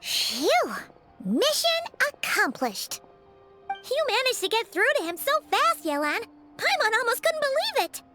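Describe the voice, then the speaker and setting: A woman voicing a tiny, excitable female fairy companion in a video game, who talks about herself in the third person in a bubbly, exclamatory way. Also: extremely high pitch, unhurried, heavily acted. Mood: excited. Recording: game audio with faint music underneath.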